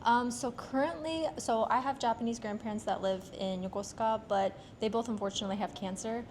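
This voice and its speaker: A woman's voice: fairly high pitch, a little fast, slight background noise. A young American woman answering interview questions casually, with many fillers and hesitant phrasing. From United States. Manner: soft-spoken, filler-heavy, hesitant phrasing. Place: outdoors on a street in Japan.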